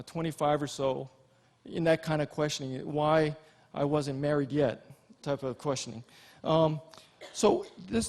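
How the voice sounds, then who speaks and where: A middle-aged man from Hawaii giving an informal keynote as an Army general and physician, telling personal stories in a folksy, halting way. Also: fairly high pitch, vocal fry, darkish tone.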